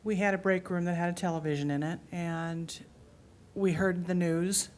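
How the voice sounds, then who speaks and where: A middle-aged woman in an audience, speaking earnestly and with emotion about her memories. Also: normal speed. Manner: earnest, emotional, low and even. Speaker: audience member. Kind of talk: personal reflection at a public talk. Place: public library meeting room.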